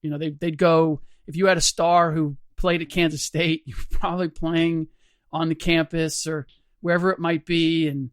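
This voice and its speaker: Hoarse middle-aged male podcast host. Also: fairly high pitch, little pitch movement, normal speed, dry recording, studio quality. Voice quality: slightly rough voice. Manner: rambling, many restarts, chuckles. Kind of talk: casual podcast chat.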